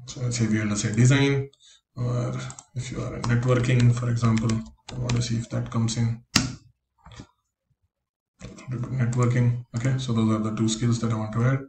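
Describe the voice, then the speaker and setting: A man talks through a screen-recorded tutorial, explaining each step plainly. His voice is mellow and fairly monotone. The room is echoey, with a faint hiss behind him. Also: medium pitch, normal speed. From India. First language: Konkani. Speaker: career coach.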